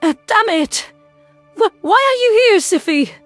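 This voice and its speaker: A woman's voice: extremely high pitch, animated, measured pace, no room sound, soft background music. A woman voicing a tiny, frightened female alien rodent in an audio drama, indignant and tearful, stammering as she talks. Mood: tearful indignation. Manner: stammering, agitated.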